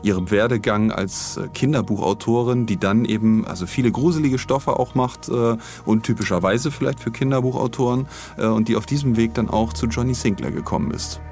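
Guy hosting a podcast, lowish gravelly voice, halting casual chat, faint music behind. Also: a little mellow. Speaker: podcast host.

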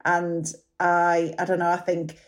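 A woman's voice: on the low side, completely flat delivery, unhurried. A woman speaking as a podcast guest, earnest and laughing easily as she makes her points. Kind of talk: conversational interview.

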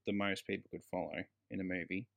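A man's voice: deep voice, little pitch movement, quick pace, vocal fry, fairly bright tone. Sweary, emphatic man. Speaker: podcast co-host. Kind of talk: casual film review chat.

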